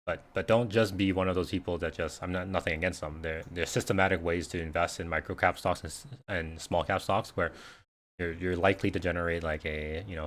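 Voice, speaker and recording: A man with a deep, low voice hosts a casual livestream, answering questions as he goes. His first language is Cantonese, and he rambles through loose, conversational sentences full of "you know" and "right?". He speaks into a desk mic. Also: fairly bright tone, a little hiss or hum. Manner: rambling, conversational, many fillers and restarts. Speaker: investing livestream host. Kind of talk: casual live Q&A chat.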